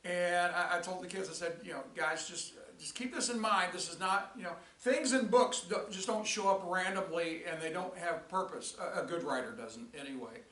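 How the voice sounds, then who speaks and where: An older male teacher recapping a lesson in a rambling way with many restarts, his voice raspy and tired. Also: high voice, normal speed, few pauses, fairly bright tone, far from the mic. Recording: echoey room, voice from off-mic.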